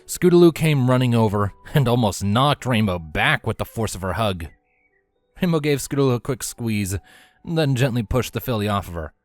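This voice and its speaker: Fanfiction narrator: scripted third-person storytelling, lively varied pitch, music in the background. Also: normal speed, dry recording.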